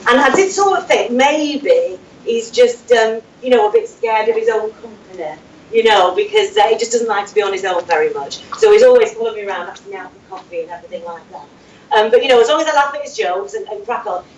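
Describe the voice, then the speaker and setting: A woman playing a mild, hesitant safety assistant who fills pauses often. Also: on the high side, expressive, natural voice. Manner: hesitant, many fillers, mild. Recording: roomy distant room with faint noise.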